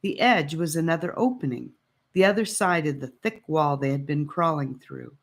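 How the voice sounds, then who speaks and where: A woman's voice: deep voice, normal speed, creaky. A woman reading an adventure audiobook as a dramatic storybook narrator.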